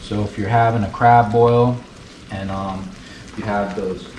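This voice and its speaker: A man from the United States hosting a relaxed, chatty cooking tutorial in his kitchen. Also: lowish voice, a little slow, a little hiss or hum. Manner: relaxed, chatty, fond of saying beautiful. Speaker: cooking channel host. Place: kitchen with food frying on the stove. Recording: roomy sound, mic set at a distance, sizzling pan.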